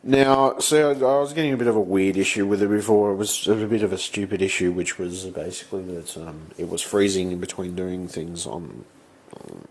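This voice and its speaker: A man with blocked sinuses, talking off camera at a home workbench in a rambling, halting way that breaks into swearing when he is frustrated. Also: sits low, poor audio. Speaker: channel host. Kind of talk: casual vlog.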